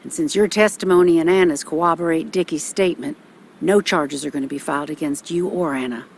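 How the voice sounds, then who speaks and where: A woman's voice: deep voice, brisk, vocal fry, a little hiss or hum. An actress playing a motherly older small-town policewoman in a video game, folksy and reassuring.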